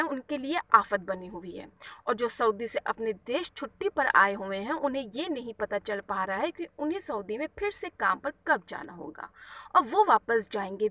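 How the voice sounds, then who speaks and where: High-voiced woman reading news, slightly distant. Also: a bit bright. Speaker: news presenter. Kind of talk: scripted news narration.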